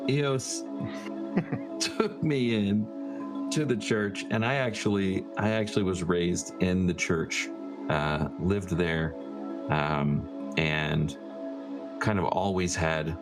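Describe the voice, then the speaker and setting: A man playing a tabletop roleplaying game, speaking informally and haltingly as he improvises. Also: low voice, music in the background.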